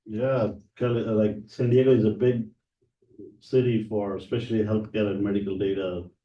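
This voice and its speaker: A man's voice: on the low side, little pitch movement, moderate pace. A man leading the session, giving short, encouraging replies and asking students questions. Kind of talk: casual classroom talk. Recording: distant, roomy and dull.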